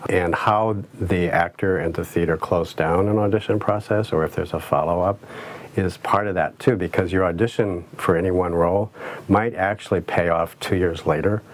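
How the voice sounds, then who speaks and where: Deep-voiced man, measured explanation. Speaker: theater professional. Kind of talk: documentary interview.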